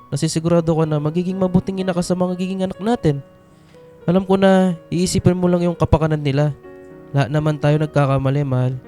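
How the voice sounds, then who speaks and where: Mellow, caring young husband played by a man. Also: on the high side, natural voice. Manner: gentle, concerned, soothing. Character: caring, reassuring young husband working far from home. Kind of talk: radio drama acting.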